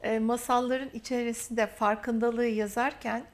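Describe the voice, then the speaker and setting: A woman hosting a TV interview, affirming her guest warmly and drawing out her phrases. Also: fairly high pitch, little pitch movement. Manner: warm, affirming, drawn-out phrasing. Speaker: TV interview host.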